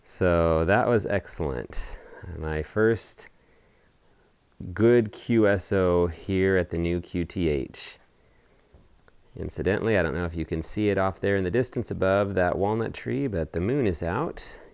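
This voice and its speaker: A man presenting a casual explanatory hobby video update outdoors, talking in deliberate, measured phrases. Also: sits low, darkish tone, smooth, no echo. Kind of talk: casual explanatory vlog talk. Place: outdoors on a house deck.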